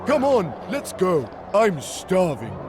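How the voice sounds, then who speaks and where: An actor playing a big, hearty, simple Gaulish warrior in a cartoon game, blunt and boyishly earnest. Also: male voice, high voice, huge pitch swings, slow, noisy background, full character voice. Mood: easygoing.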